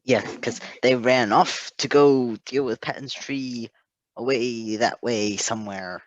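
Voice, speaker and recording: Casual reacting co-host, agreeable and giggly, slow delivery, very dry recording. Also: mid-range voice, steady tone, no echo, dull audio. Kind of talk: casual chat.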